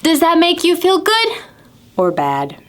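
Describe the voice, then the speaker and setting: A woman presenting storytime to preschoolers, asking simple questions and stressing key words deliberately. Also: very high-pitched, huge pitch swings. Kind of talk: storybook reading and talking to young children.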